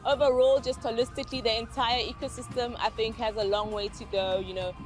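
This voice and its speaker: A woman with a fairly high voice speaks with emphasis and some reflection. Soft music plays underneath her. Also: fairly even intonation, a little fast. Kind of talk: documentary interview.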